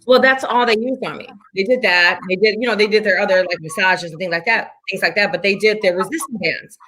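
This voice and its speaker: An upbeat woman in her late forties talks in a casual, chatty way about her work as a fitness instructor. She comes back to her points again and again for emphasis, and her pitch sits in a mid range. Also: fast talker, fairly bright tone. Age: 40s. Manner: enthusiastic, chatty, repeats herself for emphasis. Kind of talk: casual chat.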